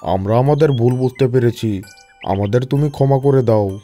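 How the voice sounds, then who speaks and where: Man voicing a mellow, remorseful cow. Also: mid-range voice, moderate pace, soft background music, lightly acted. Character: remorseful cow from the herd in a fable. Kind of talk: scripted cartoon story dialogue.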